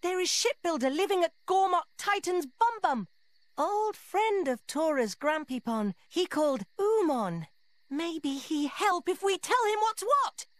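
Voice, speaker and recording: A woman's voice: very high-pitched, very animated, a little slow, dry recording, full character voice. A woman voicing a small, eager male creature sidekick in a video game, childlike and speaking in simple broken grammar. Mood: excited, helpful. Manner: simple broken grammar, refers to self by name. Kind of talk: cartoonish video game acting.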